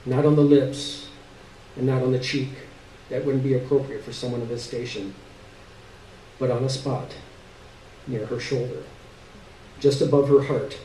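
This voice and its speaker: A man's voice: medium pitch, steady tone, measured pace, long pauses, warm tone. A man giving a dramatic literary reading of a short story on stage. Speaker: story reader. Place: live stage reading in a room. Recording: echoey room, distant mic, faint noise.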